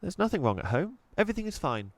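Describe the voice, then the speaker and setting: Man putting on a timid, higher-pitched young girl. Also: very animated, fast talker, no room sound. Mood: adamant. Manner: hesitant, flustered.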